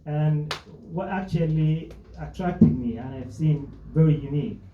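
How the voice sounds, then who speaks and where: A man's voice: on the high side, slight background noise. Guy, earnest, lots of uh's, echoey room, bit muffled. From Somalia. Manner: earnest, with frequent hesitations. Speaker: panel speaker, humanitarian activist. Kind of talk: panel talk. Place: event hall with audience. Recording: echoey hall, dull lo-fi.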